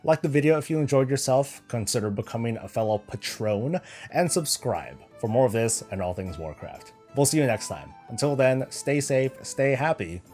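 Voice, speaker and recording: A man's voice: mid-range voice, animated, normal speed, darkish tone, faint music behind. A man from Northern California hosting a gaming channel, casually answering viewer questions with sarcastic asides. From United States, Northern California. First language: Filipino heritage, raised in the US. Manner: joking, sarcastic asides, candid.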